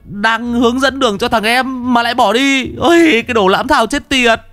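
Storybook figure: a whiny, panicky chubby young man, voiced by a male narrator, shrill and high. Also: moderate pace, sharp tone, dry recording, soft background music. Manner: shrill, whining, wailing. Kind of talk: storybook character voice.

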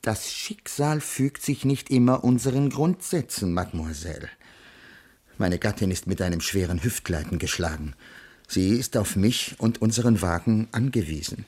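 An actor playing a pedantic, earnest middle-aged ethics teacher with formal, stilted diction in a radio crime play. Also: male voice, lowish voice, moderate pace, darkish tone, breathy edge. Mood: defensive. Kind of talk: 1980s German radio crime play acting.

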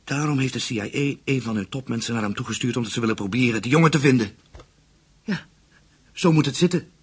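An actor playing a menacing, calculating interrogator in a radio drama, measured and commanding. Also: male voice, medium pitch, husky, lightly acted. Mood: urgent deduction. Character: menacing, calculating male interrogator, leader of Nazi conspirators.

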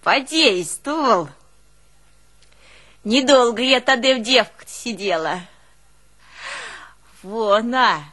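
A woman's voice: on the high side, exaggerated voice. An actress playing an artless, emotional peasant woman with a northern Russian rural dialect, telling her life in a theatrical folk monologue. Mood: emotional, confiding. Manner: folksy, expressive, exclaiming and musing aloud. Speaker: stage actress in a one-woman play.

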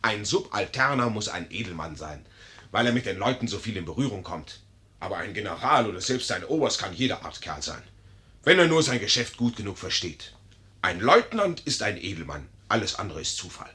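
An actor in a 1950s radio play as a boastful, dim-witted young cavalry lieutenant with a pompous manner. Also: male voice, lowish voice, expressive, brisk, bright, forceful tone. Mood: smugly boastful. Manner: pompous, self-important.

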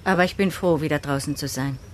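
A woman acts a composed, shrewd spinster in a radio drama. Her low voice is formal and measured, and she questions people with cool precision. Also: slight background noise, lightly acted. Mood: calm, kindly. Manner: formal, measured, coolly probing. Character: composed, proper, shrewd older spinster investigating. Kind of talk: German radio play acting.

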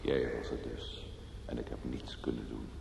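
An actor playing a grave, consoling older man in a Dutch radio drama, with a measured, paternal, philosophical manner. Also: a bass voice, little pitch movement, vocal fry, whispered, heavily acted. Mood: grave, resigned. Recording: slightly noisy old radio recording.

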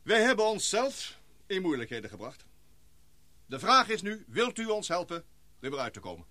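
An actor playing an earnest, excitable scientist and expedition leader in a Dutch radio play, speaking formally and precisely. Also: male voice, sits high, moderate pace, no echo, heavily acted. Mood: solemn, urgent.

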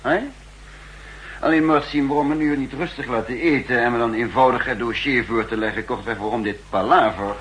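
An actor playing an undercover spymaster in a 1960s radio drama, guarded and wry beneath a genial surface. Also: male voice, medium pitch, brisk, a bit bright, close to an everyday voice, rough recording. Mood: probing, wary. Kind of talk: 1960s Dutch radio drama acting and first-person narration. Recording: 1960s radio recording, faint hum and noise.

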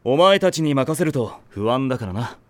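A man voicing a steady, no-nonsense teenage team captain with a firm, decisive manner in an anime audio drama. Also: mid-range voice, normal speed, a little hiss or hum.

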